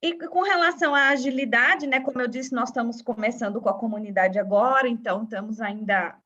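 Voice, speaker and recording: A woman's voice: fairly high pitch, brisk, sharp tone. A woman from Brazil, with a Brazilian accent mixed with European Portuguese touches, chatting casually on a video call as a community organizer. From Brazil, São Paulo countryside. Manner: chatty, fills with "né" and "assim". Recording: dull lo-fi video call audio.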